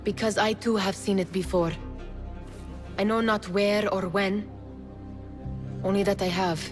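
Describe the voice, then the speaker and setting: A woman's voice: mid-range voice, steady tone, unhurried, close to an everyday voice. An actress playing a wry, determined young woman in a video game drama, speaking formally and with self-assurance. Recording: dramatic music behind the voice.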